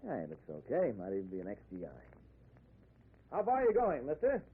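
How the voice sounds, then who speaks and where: A man's voice: medium pitch, wildly swooping pitch, moderate pace. An American actor playing a chatty, jovial married traveling salesman in a 1940s-style radio drama, with folksy, hesitant talk. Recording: tinny, noisy old radio broadcast recording.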